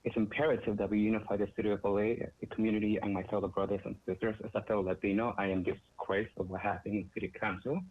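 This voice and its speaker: A man from El Salvador, calling in to give an earnest, somewhat halting public comment. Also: fairly low pitch, little pitch movement. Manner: earnest, repetitive, slightly halting. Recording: tinny phone line with faint noise.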